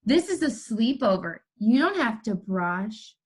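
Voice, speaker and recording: A woman's voice: fairly high pitch, sing-song, measured pace, a little mellow, husky, full character voice. A woman reading a picture book aloud as a bossy young boy who likes to contradict his sleepover pal. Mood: dismissive.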